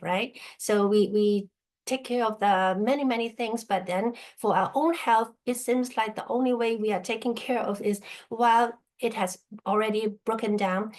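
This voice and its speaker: A middle-aged woman from Hong Kong gives a relaxed talk to an online group through a video call. She keeps checking in with her listeners as she goes, with a voice pitched in the middle of the usual range. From born in Burma, grew up in Hong Kong. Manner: checks in with listeners by asking "okay?". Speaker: guest presenter sharing her story. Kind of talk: casual spoken presentation to an online group.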